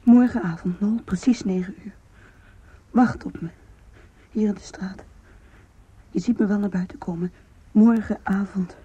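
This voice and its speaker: Hushed, breathy young sweetheart, dark voice. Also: female voice, medium pitch, fairly even intonation, a little slow, long pauses, highs cut off. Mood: urgent, tender. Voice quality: breathy, often whispering. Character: tender, commanding young woman secretly meeting her sweetheart. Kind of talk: hushed, intimate radio drama acting.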